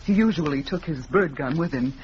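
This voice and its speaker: A woman's voice: low voice, lively intonation, a little fast, darkish tone. A woman playing a young, genteel widow, reluctant and hesitant, in 1940s radio mystery style. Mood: subdued, hesitant. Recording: dull, noisy old radio recording.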